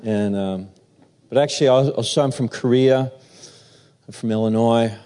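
A man preaching as pastor, halting with many fillers as he talks, then reading scripture aloud. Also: mid-range voice, warm tone, clean tone.

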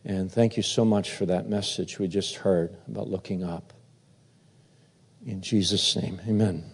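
A man preaching as pastor, halting with many fillers as he talks, then reading scripture aloud. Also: low-pitched, steady tone, slightly rough.

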